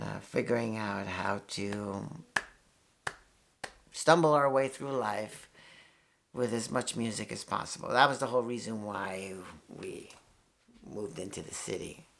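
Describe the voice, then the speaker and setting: A man's voice: fairly low pitch, a little slow, vocal fry, long pauses, a bit bright, slight background noise. Rambling older man from New York. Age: 60s. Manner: rambling, candid, swears freely, with many fillers and restarts. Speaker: guitarist and channel host. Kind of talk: casual reflective monologue.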